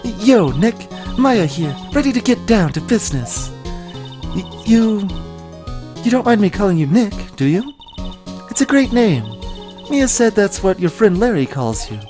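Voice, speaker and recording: A woman plays a cheeky teenage girl, an eager game sidekick. She is bubbly and emphatic, with a very lively pitch, and music plays clearly behind her. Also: unhurried. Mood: excited, eager.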